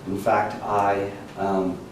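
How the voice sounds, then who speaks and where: A man's voice: on the low side, completely flat delivery, extremely slow. A man from the American South, a professor and composer, chatting as a guest in a casual live interview. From Charleston, South Carolina, USA. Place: large echoey room. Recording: echoey room, distant mic, faint noise.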